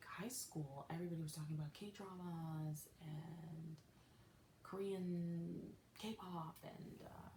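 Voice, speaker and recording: A young woman hosting a solo podcast, talking casually in a soft, half-whispered way, rambling and correcting herself as she goes. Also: lowish voice, fairly even intonation, slow. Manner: rambling, self-correcting, chatty. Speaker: podcast host. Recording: echoey room with faint noise.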